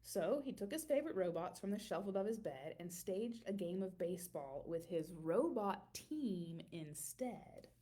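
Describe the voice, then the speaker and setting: A woman reading a children's picture book aloud in an expressive storytelling manner, with dramatic emphasis and drawn-out words. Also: fairly low pitch, a little hiss or hum.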